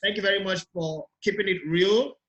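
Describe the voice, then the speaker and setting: A man's voice: high voice, fairly quick, fairly bright tone, low-quality recording. A man with a Nigerian accent hosting a casual interview, repeating phrases for emphasis. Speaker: interview host.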